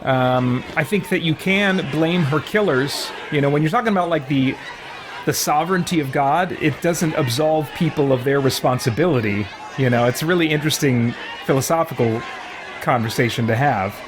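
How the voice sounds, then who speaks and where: A man's voice: fairly high pitch, normal speed. A man giving casual, philosophical commentary as he hosts a video game playthrough, chatty and reflective. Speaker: let's play host. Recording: noisy, with game crowd sounds behind. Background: video game ambience and crowd noise.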